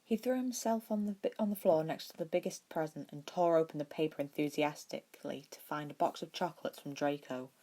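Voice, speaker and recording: A woman narrating a story from a script in an expressive way, as an amateur audiobook reader. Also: deep voice, fairly quick, few pauses. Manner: acts out dialogue with expression.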